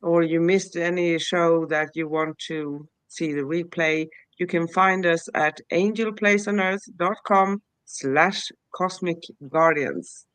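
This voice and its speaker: A woman's voice: fairly low pitch, slow, hardly any pauses, fairly bright tone, clean tone, no room sound. Cheerful woman host with a cold. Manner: cheerful and bubbly, with frequent light laughter. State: has a cold. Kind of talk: show host introduction.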